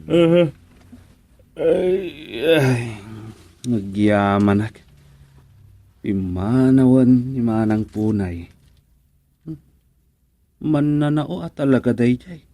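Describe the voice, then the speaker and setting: An actor playing a drowsy, easygoing village man in an Ilocano radio comedy, with drawn-out sighing interjections. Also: mid-range voice, lively intonation, mellow, no room sound. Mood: drowsy, musing. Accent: Filipino, Ilocano.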